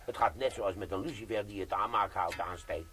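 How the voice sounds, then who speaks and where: An actor playing a gruff veteran bomb disposal sergeant who swears and lectures in a radio play. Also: male voice, lowish voice, fairly quick, fairly bright tone, a little hiss or hum, natural voice. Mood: lecturing.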